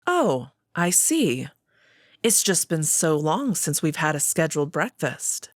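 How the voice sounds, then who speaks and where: A woman voicing a cautious, polite royal female wolf who speaks in a measured way. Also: deep voice, very animated, no room sound, clean studio sound, full character voice. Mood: careful.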